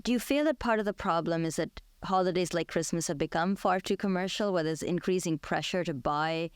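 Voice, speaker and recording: Smooth-voiced show host. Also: medium pitch, a little fast, dry recording, clean studio sound. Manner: thoughtful, conversational. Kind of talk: radio discussion.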